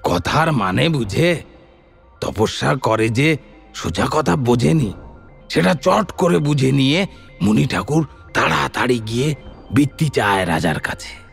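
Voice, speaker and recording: A man's voice: mid-range voice, lively intonation, measured pace, gravelly, long pauses, husky, soft background music, exaggerated voice. A man playing an earnest, brooding rural bandit who tells stories and asks indignant rhetorical questions in an audio drama. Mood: wry storytelling. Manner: theatrical, rhetorical questions, addresses a listener as babu. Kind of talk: dramatic Bengali short-story monologue.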